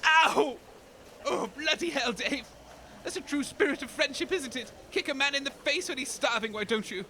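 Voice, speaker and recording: A man's voice: extremely high pitch, moderate pace, sharp tone, a little hiss or hum. A man playing a grumbling, scheming, pompous radio DJ with a British accent in a comedy audio drama, theatrical and prone to stammering. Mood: indignant. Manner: theatrical, stammering when evasive, grandiose.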